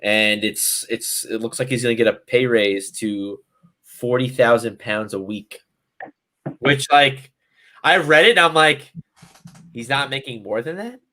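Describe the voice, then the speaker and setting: A man's voice: mid-range voice, measured pace, pushed and bright. A man co-hosting a football podcast, rambling and thinking aloud in casual chat. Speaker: podcast co-host.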